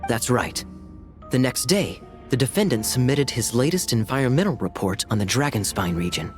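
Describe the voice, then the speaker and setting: A shrewd young male defender, played by an actor with a very animated, mid-pitched delivery. He is probing and pointed in game cutscene style, over music. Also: normal speed, close to an everyday voice. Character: shrewd, smooth-talking young man defending the accused. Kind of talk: scripted game cutscene acting. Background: background music.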